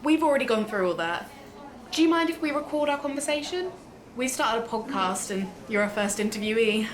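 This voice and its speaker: A woman's voice: fairly high pitch, expressive, fairly quick, slight background noise, natural voice. An actress playing a chatty, curious, playful woman who makes an amateur true-crime podcast, in an audio drama. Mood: earnest.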